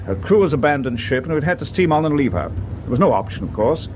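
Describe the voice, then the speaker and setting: A man playing a grave, resolute British senior naval officer in a wartime radio drama, speaking with deliberate, understated formality. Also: fairly high pitch. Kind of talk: WWII radio drama acting. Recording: steady ship ambience noise behind.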